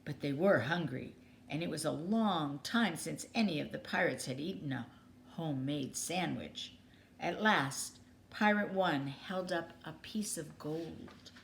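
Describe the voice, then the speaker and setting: A woman's voice: deep voice, a little slow. A woman storyteller reading a children's story aloud expressively. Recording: clearly noisy background.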